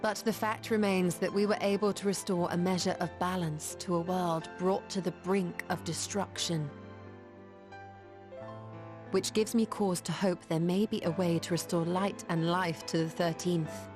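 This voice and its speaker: Composed, low-pitched female scholar played by an actress. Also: steady tone, normal speed, natural voice. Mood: earnest, reasoned. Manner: articulate, formal, explanatory. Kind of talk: dramatic video game cutscene acting. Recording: background music under the voice.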